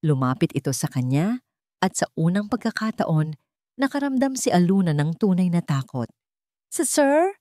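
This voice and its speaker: A woman storyteller with a slightly low, mellow voice reads dramatic fiction in measured phrases. The sound is dry and close, with no room around it. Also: lively intonation, no room sound. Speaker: storyteller, narrator. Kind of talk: dramatic scripted audio story narration.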